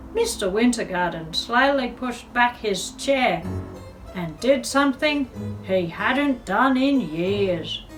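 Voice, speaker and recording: A woman's voice: on the high side, poor audio. A woman reading a picture book aloud to young children, adding chatty asides and questions to her listeners. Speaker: children's storyteller.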